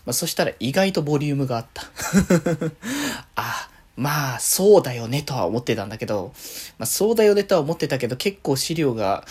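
A woman's voice: very deep voice, very animated, a little fast, darkish tone, slightly rough. A woman hosting a casual, rambling solo chat for listeners, often giggly and correcting herself as she goes. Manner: often giggles while talking. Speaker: solo talk show host.

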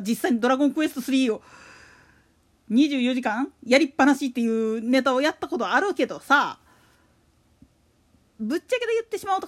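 High-pitched, lively, chatty woman. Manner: colloquial, slangy, chatty. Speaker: solo podcast host. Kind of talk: casual monologue about a radio announcer.

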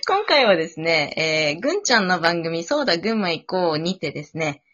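A woman hosts a casual after-show chat. Her voice is bright and her intonation moves around a lot. The sound is slightly dull and lo-fi. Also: lowish voice, sing-song, brisk. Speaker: radio show host. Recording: dull lo-fi online audio.

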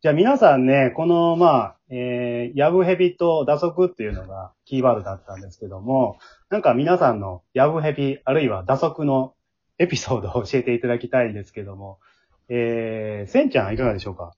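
A man with a husky voice, hosting a casual round-table chat. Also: mid-range voice, lively intonation, quick pace, a little mellow. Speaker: host and drama group director. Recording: dull lo-fi audio.

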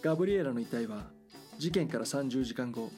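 A man reading scripted documentary drama narration in a measured, serious news-report manner. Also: medium pitch, expressive, warm tone, faint music behind.

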